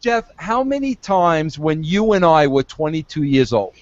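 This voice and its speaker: A man's voice: on the high side, lively intonation, measured pace, dull audio. A man in his fifties hosting a podcast, coaxing and pushy, stumbling over his words and repeating himself. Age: 50s. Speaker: podcast host. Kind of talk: casual podcast chat.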